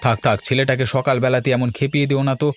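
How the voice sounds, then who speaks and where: Indulgent cartoon father, mid-pitched, coaxing, gently teasing, music underneath male performer. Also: level tone, fast talker, natural voice. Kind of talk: Bengali cartoon story dialogue. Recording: music behind the voice.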